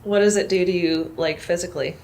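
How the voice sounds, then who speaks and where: A woman asking questions in a relaxed interview, her voice a little lower than average. She hesitates with "um" before asking and reacts with short replies. Faint background noise sits under her voice. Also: brisk, creaky, low-quality recording.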